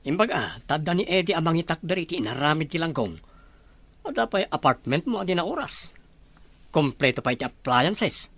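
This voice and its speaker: A woman's voice: low-pitched, expressive, brisk, breathy edge, no echo. A woman playing a nosy, blunt, gossiping friend in an audio drama. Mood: nosy, admiring.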